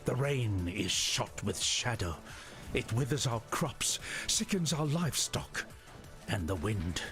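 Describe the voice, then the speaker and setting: A grave tribesman who recounts the curse on his storm-haunted land. He speaks very slowly and solemnly, as dramatic game lore, with music underneath. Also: medium pitch, sing-song, a bit bright. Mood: grim, foreboding. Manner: solemn, measured storytelling.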